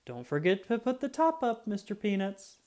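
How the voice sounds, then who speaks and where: A friendly squirrel cousin from a children's storybook, read by a woman, speaks in a mellow, lively voice. The manner is cheerful and inviting, with plenty of rise and fall. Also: mid-range voice, normal speed, pitched above the performer's own voice, lightly acted. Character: friendly, hospitable squirrel cousin who loves Christmas.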